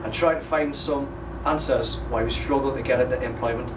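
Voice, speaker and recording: A man's voice: medium pitch, fairly even intonation, fairly quick. A man, speaking earnestly in an interview. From North East England. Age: 20s. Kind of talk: casual interview answers. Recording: echoey room, distant mic, clear noise.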